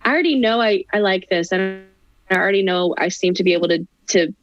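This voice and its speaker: A young adult woman, a singer and actress, chatting as a podcast guest, animated and laughing, often restarting her sentences. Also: medium pitch, fast, muffled recording, soft background music. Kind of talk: casual interview chat.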